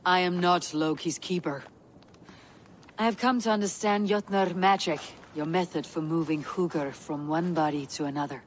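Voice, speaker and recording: A stern warrior god played by a woman talks in a deep, low female voice. The delivery is grave and formal, in dramatic fantasy game style, over faint background ambience. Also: moderate pace, a little hiss or hum, close to an everyday voice. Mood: guarded. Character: stern, determined warrior god seeking wisdom. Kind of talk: dramatic video game cutscene acting.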